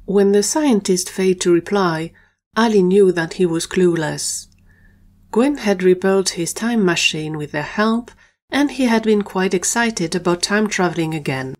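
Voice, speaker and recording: A woman's voice: medium pitch, measured pace, a little mellow. A woman reading scripted narration for an audio adventure story.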